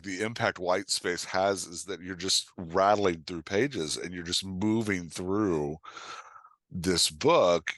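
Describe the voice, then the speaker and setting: A man's voice: low-pitched, no room sound. A man talking casually and bluntly about his craft as a podcast guest and coach, emphatic, often voicing imagined reactions. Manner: animated, emphatic, acts out imagined readers' reactions; informal, blunt, peppered with "like" and "right?". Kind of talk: conversational craft talk.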